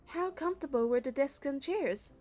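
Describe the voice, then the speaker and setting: A woman's voice: sits high, animated, moderate pace, a little mellow. A young woman narrating a game playthrough, reading the story and the player character's part in a casual way. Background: music.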